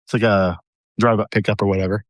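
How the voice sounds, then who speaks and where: A man's voice: fairly low pitch, little pitch movement, fast talker, no echo, clean studio sound. A man co-hosting a podcast in casual chat, easygoing and chatty. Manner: easygoing, chatty, many fillers like "like" and "dude". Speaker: podcast co-host.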